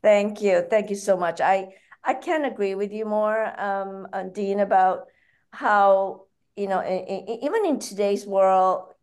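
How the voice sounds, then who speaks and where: A woman's voice: mid-range voice, a little slow, a little mellow, clear, resonant tone. A woman from Malaysia with a Malaysian accent, speaking conversationally as an interview guest. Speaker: podcast guest, author and food company founder.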